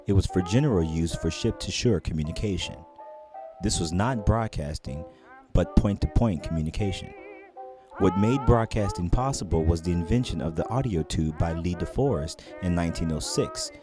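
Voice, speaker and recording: An American man reads scripted documentary narration. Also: low voice. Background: music.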